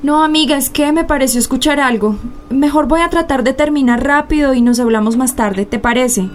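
An actress playing an anxious young law-firm secretary in a radio drama, speaking with a Colombian accent. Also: female voice, sits high, fairly quick, lightly acted. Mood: uneasy.

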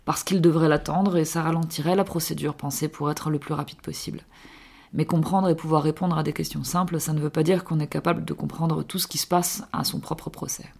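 Woman, low voice, measured delivery, scripted radio column. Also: steady tone, creaky, darkish tone, slightly rough.

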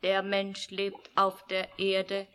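A newly made artificial young woman in a science-fiction radio drama. She recites with no inflection, very slowly and with a clean, smooth tone, like a naive machine learning to speak. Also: medium pitch. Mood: mechanical, blank. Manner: robotic, reciting short statements in a flat way.

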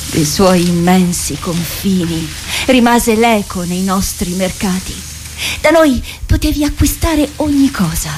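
An actress playing a proud, impassioned citizen recounting her city's history in a deliberate, solemn theatrical monologue. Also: medium pitch, darkish tone, slight background noise, natural voice. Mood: solemn, evocative. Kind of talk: theatrical monologue in radio drama. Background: music.

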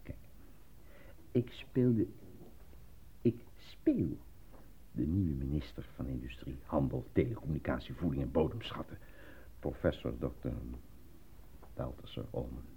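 An actor in a radio play voicing an eager, naive, easily flustered man who stammers and gets excitable. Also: extremely low pitch, unhurried, creaky, pauses often, slight background noise, exaggerated voice. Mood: boastful, excited. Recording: muffled lo-fi old radio recording.

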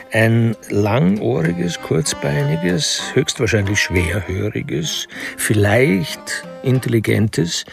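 A man narrating a crime radio play in a dry, deliberate, ironic way. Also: medium pitch, gravelly, heavily acted. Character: wry, deliberate male narrator of crime story. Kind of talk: scripted narration. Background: music.

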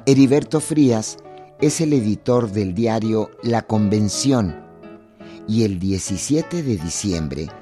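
A man giving measured, expressive scripted narration for a historical radio drama. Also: on the low side, faint music behind.

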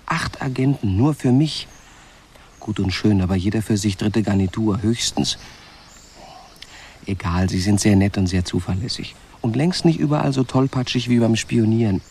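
A man's voice: fairly low pitch, normal speed, a little mellow, a little hiss or hum, close to an everyday voice. An actor playing a wry, ironic man who muses about the people watching him, in a radio play monologue. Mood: wry, musing. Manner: ironic, musing. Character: wry man under surveillance, narrating his watchers.

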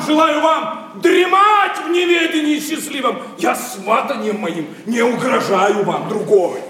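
An actor playing a heartbroken, bitterly indignant young nobleman, declaiming classical verse with sarcasm in a radio play. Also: extremely high pitch. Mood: scornful outrage. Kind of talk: classical verse stage acting. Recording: echoey hall, distant, faint noise.

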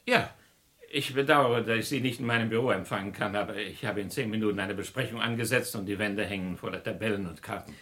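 A man's voice: lowish voice, quick pace, a bit bright. An actor playing a busy, obliging businessman and art collector in a German radio crime play, polite, composed and formal.

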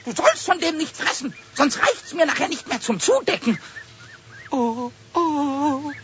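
A man playing a small, childlike, cheeky kobold with a squeaky voice, stammering and pleading in a children's radio play. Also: sing-song, a little slow, full character voice. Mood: desperate, pleading. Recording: dull noisy 1970s radio play recording.

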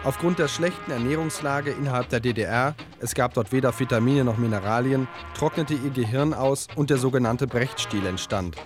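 An actor playing a straight-faced radio narrator and phone operator, matter-of-fact and deadpan in a satirical radio play. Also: male voice, medium pitch, fairly quick, few pauses, over music, lightly acted. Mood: deadpan narrating.

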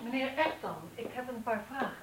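A woman playing a brisk, inquisitive and sympathetic police detective in a naturalistic radio drama. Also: a little high, noisy background, lightly acted. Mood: businesslike, probing. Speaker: actor in a radio play.

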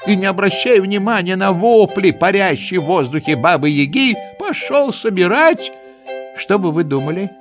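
A man narrating a children's story in an expressive, theatrical and playful way, with rhetorical asides. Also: high-pitched, moderate pace. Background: music.

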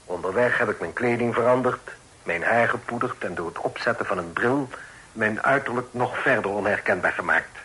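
An actor playing a proud, protective middle-aged father in a period radio drama, speaking formally and with measured authority. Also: fairly low pitch, pushed and bright, breathy edge, poor audio. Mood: measured, confiding. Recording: old radio play recording.

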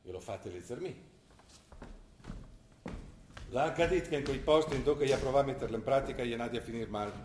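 An actor playing a middle-aged provincial father in a stage drama, gruff and reproachful, speaking colloquially with a Trentino northern Italian accent. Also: medium pitch, fairly quick, pauses often, a little hiss or hum, close to an everyday voice. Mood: scolding. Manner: scolding, colloquial. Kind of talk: stage drama acting in dialect. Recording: roomy theatre sound, faint music. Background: faint music.

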